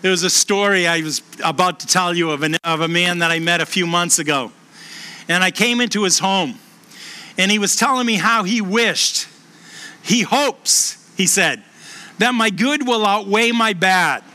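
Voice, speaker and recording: A middle-aged man with a Boston accent, a pastor preaching a sermon and telling stories earnestly, slowing down for emphasis. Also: pushed and bright. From Boston, USA. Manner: earnest storytelling, slows and lowers voice for emphasis. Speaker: pastor and hospice chaplain.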